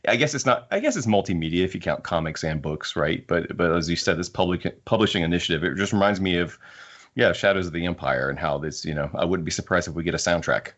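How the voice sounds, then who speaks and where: A man's voice: sits low, fast, fairly bright tone, muffled recording. A man co-hosting a podcast in a casual, chatty style. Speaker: podcast co-host. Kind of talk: casual chat.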